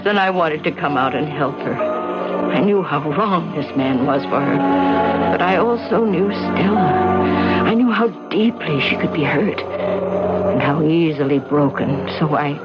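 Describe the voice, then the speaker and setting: Loud music swells under a muffled old radio recording of a man playing a grieving family guardian. The voice is fairly high, telling the tale in a halting, mournful, dramatic way. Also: lightly acted. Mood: regretful.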